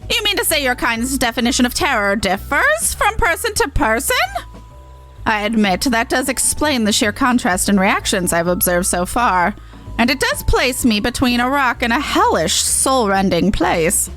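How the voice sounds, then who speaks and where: A woman voicing a pompous, gleefully macabre demon showman from a game, with a grandiose, drawn-out delivery. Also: a little high, big pitch swings, fairly bright tone, faint music behind, low-quality recording. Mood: vexed, scheming. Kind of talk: voiced reading of game dialogue.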